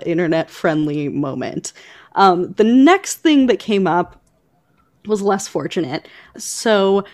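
A woman co-hosting a podcast, talking through book news conversationally with hesitant pauses as she picks her words. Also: fairly low pitch, darkish tone, no room sound. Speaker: podcast co-host.

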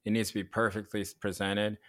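Animated low-voiced man in casual debate. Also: fast talker. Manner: animated, swearing, laughing, bursts into loud asides. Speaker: podcast co-host. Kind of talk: casual chat.

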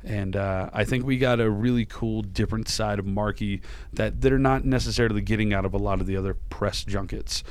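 A man's voice: fairly low pitch, steady tone, fairly quick, smooth, no room sound. A man hosting a casual podcast, rambling with lots of fillers and restarts, tired and a little dry-voiced. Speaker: podcast host. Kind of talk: casual podcast chat and interview.

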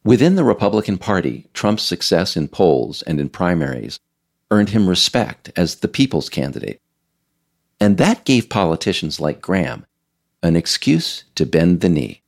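A man's voice: low voice, sing-song, normal speed, gravelly. A man reading scripted narration as the author of an audiobook.

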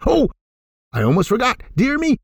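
A man's voice: very high voice, wildly swooping pitch, normal speed, creaky, breathy edge, dry recording, studio quality, pitched above the performer's own voice, exaggerated voice. A man voicing a reformed boss who is giddy and gleeful, talking in a theatrical sing-song.

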